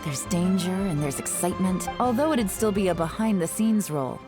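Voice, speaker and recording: An actress voices a cool, confident female spy in a fantasy game. Her voice is on the low side, secretive and teasing, with soft music behind it. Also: lively intonation, brisk, natural voice. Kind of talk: game voice acting, dialogue.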